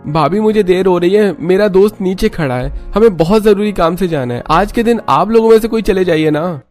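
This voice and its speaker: A man voicing a brusque, short-tempered young husband in an animated story, loud and commanding. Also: sits high, brisk, soft background music, close to an everyday voice. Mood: hurried.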